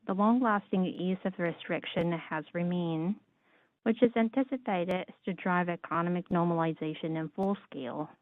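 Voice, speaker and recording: A woman giving a formal scripted business presentation as a conference call interpreter, reading in a measured, orderly way. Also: on the low side, no echo. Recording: narrow, dry phone-like line.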